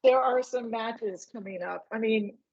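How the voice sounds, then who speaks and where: A woman podcast host, eager and rambling, restarting her sentences as she asks. Her voice sits a bit high and comes through breathy and husky, with muffled lo-fi sound. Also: measured pace. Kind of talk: casual interview chat.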